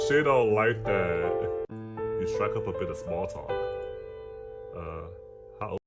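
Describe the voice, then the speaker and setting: A man's voice: on the low side, very animated, very slow, long pauses. A man streaming a visual novel, reading the game text aloud and reacting casually. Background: loud piano music.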